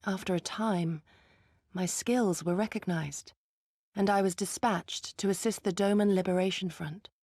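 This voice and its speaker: A woman playing an earnest, dutiful ninja resistance fighter in a video game, speaking in a solemn, measured and formal way. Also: mid-range voice, fairly even intonation, darkish tone, no echo, clean studio sound. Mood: solemn, wistful. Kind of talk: dramatic video game acting.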